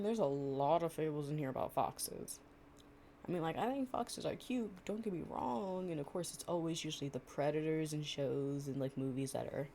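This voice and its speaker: A woman's voice: low-pitched, gravelly, dark tone, a little hiss or hum, heavily acted. A young woman playing an affectionate, chatty girlfriend in an improvised, intimate storytime roleplay, with a casual, slangy and playful way of talking. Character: affectionate, chatty girlfriend reading fables to her partner.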